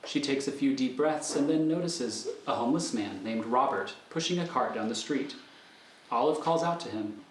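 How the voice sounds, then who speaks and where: A man's voice: medium pitch, animated, moderate pace, slight background noise. A man reading screenplay stage directions as the narrator of an audio thriller. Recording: roomy echo with music underneath.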